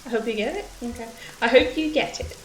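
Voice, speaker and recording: A woman streamer from the UK, raised partly in Australia, chats with viewers in a nervous, rambling way. Her voice sits a little high. The room is roomy, and a faint noise runs underneath. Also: quick pace. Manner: nervous, rambling, self-conscious. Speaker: game streamer. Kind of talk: casual chat with viewers while playing.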